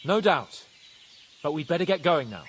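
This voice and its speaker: An actor playing a cocky young nobleman who jests and boasts in a lordly, quick-witted way, in a game cutscene. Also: fairly high pitch, very animated, a little hiss or hum. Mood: brisk, lordly. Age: young adult. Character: cocky young male knight, joking and boastful. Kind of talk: video game cutscene acting.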